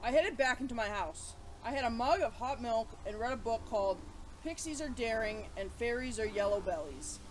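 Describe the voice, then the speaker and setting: A woman hosting a children's story time, chatting playfully to kids and reading aloud in an animated way. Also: fairly high pitch, moderate pace, a little hiss or hum, poor audio.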